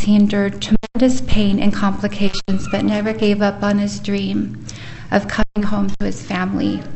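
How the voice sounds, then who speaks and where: A woman giving a steady, earnest life sketch as a eulogy at a funeral service in a chapel. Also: medium pitch, slight background noise, rough recording. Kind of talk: reading a eulogy.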